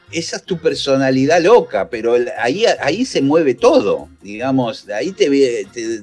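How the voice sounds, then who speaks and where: Argentine radio host on a video call. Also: male voice, medium pitch. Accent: Argentine Spanish. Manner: relaxed, hesitant questions with fillers. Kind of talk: casual video-call interview. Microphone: video call with a mic.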